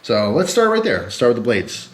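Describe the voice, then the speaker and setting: Male gear-review vlogger, mid-pitched and congested from a cold, chatty and informal, recorded in a reverberant room. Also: wildly swooping pitch, fairly quick, a bit bright. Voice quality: congested, stuffy-headed. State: sick with a cold and flu. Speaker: host of a gear and knife review channel. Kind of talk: casual rambling vlog chat to camera. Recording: echoey, roomy sound.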